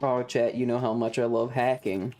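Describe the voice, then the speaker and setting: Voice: medium pitch, little pitch movement, normal speed, a little mellow. A streamer giving casual, sarcastic game commentary to chat, playfully crude and full of swearing. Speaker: streamer, host. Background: faint game music.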